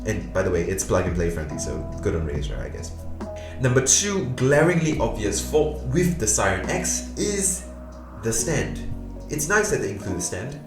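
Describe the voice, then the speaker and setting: Booming, hoarse-voiced man reviewing gear. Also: sing-song, moderate pace, reverberant, music in the background. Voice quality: booming, low and a bit hoarse. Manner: dry, sarcastic humor. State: tired, late at night. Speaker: tech reviewer. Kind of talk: casual product review. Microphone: USB condenser microphone close-up.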